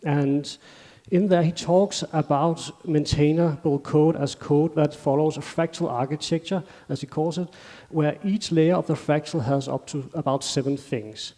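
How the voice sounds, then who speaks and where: A man's voice: fairly high pitch, steady tone, unhurried, dark tone. A man with a Danish accent giving a technical conference talk on stage, in a conversational way with loose phrasing and self-corrections. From Denmark. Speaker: conference speaker. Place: conference stage in a large hall. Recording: long hall reverb.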